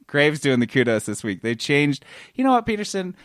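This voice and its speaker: Animated, higher-pitched American man podcasting. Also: brisk, dry recording, studio quality. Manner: animated, sweary. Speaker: podcast co-host. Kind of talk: casual comedic banter.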